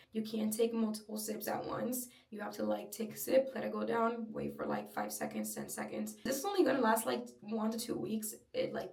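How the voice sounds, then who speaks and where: A young woman with a slightly breathy voice, chatting openly and personally in a casual home vlog. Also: mid-range voice, darkish tone, recorded from a distance. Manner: chatty, filler-heavy, open and personal. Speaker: vlogger. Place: at home, in her room. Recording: roomy echo, a little off-mic.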